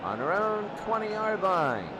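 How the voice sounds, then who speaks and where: A man doing excitable play-by-play American football commentary in short, clipped phrases. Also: sits high, slow pace, very noisy. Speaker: play-by-play sports announcer. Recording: loud crowd and music behind. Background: crowd and music.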